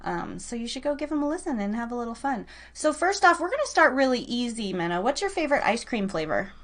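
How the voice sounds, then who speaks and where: A woman's voice: fairly high pitch, sing-song, fast talker, slight background noise. A woman hosting a live online radio show, chatting casually and playfully with listeners and a guest. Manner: chatty, playful, informal teasing.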